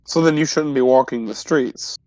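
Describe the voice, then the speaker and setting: A man's voice: mid-range voice, normal speed, darkish tone, lightly acted. A man voicing a cautious, suspicious teenage adventurer in a tabletop game, plain-spoken and insistent. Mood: wary.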